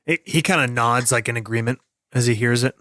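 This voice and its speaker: A man's voice: medium pitch, fairly quick, fairly bright tone, no room sound, clean studio sound. Man casually narrating a tabletop game.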